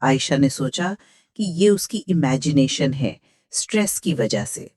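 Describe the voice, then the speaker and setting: Woman, Pakistani accent, horror story narrator, animated intonation, dry studio-close sound. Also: a bass voice, unhurried, no room sound.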